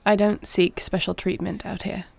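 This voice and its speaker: A woman playing a duty-bound, caring warrior princess in an audio roleplay, speaking to the listener in a formal, measured, creaky voice. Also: mid-range voice, little pitch movement. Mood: reserved, sincere. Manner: formal, measured, soft-spoken speech.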